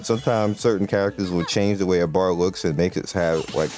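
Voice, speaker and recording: Guy with a low voice chatting through his phone game, rambly, lots of ums, some quiet music behind. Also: brisk. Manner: rambling, loose, with many fillers and restarts. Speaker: gaming channel host. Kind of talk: casual gameplay commentary.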